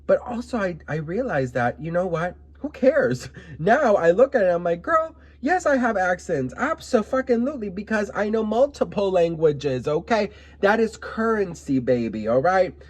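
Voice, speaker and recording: A man's voice: sits high, big pitch swings, normal speed, hardly any pauses. A young man from Miami giving sassy, emphatic commentary to camera, often adding "okay?" asides. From Miami, United States. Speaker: vlogger commenting on celebrity news.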